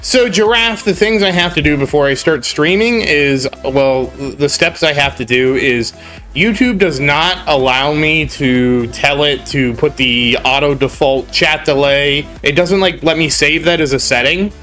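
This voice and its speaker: A man's voice: on the high side, measured pace, sharp tone, soft background music. A young man with a cold and a congested voice, rambling and grumbling on a casual game live stream. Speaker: gaming streamer.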